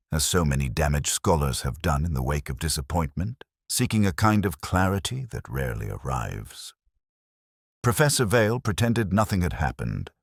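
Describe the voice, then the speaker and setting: A man playing a brooding, world-weary police inspector who narrates his case in solemn, measured, literary prose, like a melancholy detective audio story. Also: rumbling low, breathy edge, no echo, studio quality, exaggerated voice. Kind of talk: scripted first-person detective story narration.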